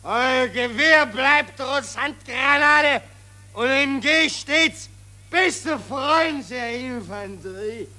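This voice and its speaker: An actor playing a hysterical, bitter Austrian army officer in a theatrical radio drama, shouting and sputtering in halting repetitions. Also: male voice, very high-pitched, full character voice. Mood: harsh, commanding.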